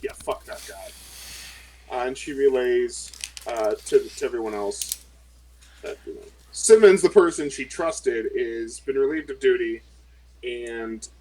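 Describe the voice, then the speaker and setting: A man at a tabletop game retells what his character says and does in loose, filler-heavy chat. His pitch sits in an ordinary middle range. A faint hiss runs under his voice. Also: darkish tone, rough recording. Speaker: player.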